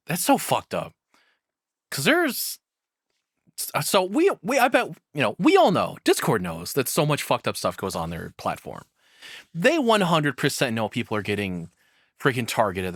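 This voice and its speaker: A man giving casual reaction commentary as a streamer, rambling haltingly and swearing freely. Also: medium pitch, fairly bright tone, no room sound, studio quality.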